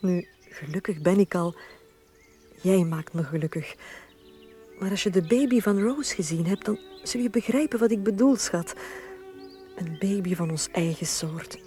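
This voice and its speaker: A woman with a Flemish accent acting a gentle, adoring young wife of small stature, full of wonder, in a radio drama. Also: on the low side, normal speed, pauses often, faint music behind, close to an everyday voice. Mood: loving, hopeful.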